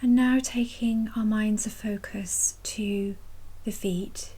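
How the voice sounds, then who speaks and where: Slow, breathy, soft woman guiding meditation. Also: medium pitch, steady tone. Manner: gentle, unhurried, with long pauses. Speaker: mindfulness practice guide. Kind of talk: guided body scan meditation.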